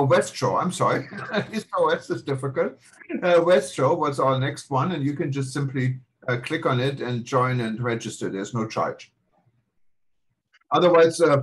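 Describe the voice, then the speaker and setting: A man's voice: medium pitch, a little hiss or hum, low-quality recording. A man hosting a live online event, speaking hesitantly and apologizing often. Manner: hesitant, apologetic, many fillers. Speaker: host. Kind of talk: livestream hosting.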